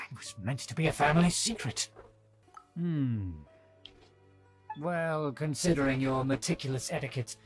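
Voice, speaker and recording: A man's voice: a little high, natural voice, poor audio. A man voicing a pompous, haughty aristocrat with formal, archaic speech in a video game. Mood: confiding. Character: pompous, haughty aristocratic nobleman, easily flattered. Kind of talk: scripted video game character acting.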